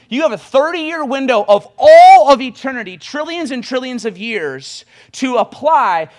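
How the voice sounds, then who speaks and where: A man. His voice sits very high for a man, and he drives his points hard, racing ahead and then punching words out louder. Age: 20s. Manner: impassioned, rapid exhortation with sudden bursts of volume. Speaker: preacher. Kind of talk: sermon.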